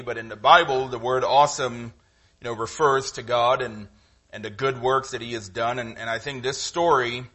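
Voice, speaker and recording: A middle-aged man with a Trinidadian accent, preaching a sermon in a conversational, halting way with frequent fillers. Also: mid-range voice, bright, forceful tone. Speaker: guest preacher.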